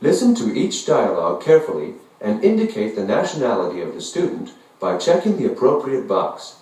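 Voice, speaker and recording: A man narrating a language textbook listening exercise in a scripted, deliberate way. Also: mid-range voice. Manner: slow, deliberate, lively intonation. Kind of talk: scripted language-lesson instructions. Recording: echoey, distant textbook audio.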